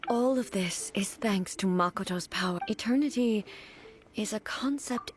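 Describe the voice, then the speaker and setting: A woman's voice: mid-range voice, measured pace, slight background noise, close to an everyday voice. A woman playing a stern, reserved warrior ruler in a video game, speaking in an earnest and restrained way. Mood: reflective. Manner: restrained, serious. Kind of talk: English game voice acting. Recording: music playing behind the voice.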